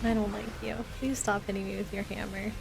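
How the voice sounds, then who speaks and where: A woman's voice: mid-range voice, little pitch movement, brisk, creaky, heavy background noise. A woman doing casual live game commentary, chatting with viewers in a playful, mock-complaining manner with short exclamations. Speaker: game streamer. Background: game music.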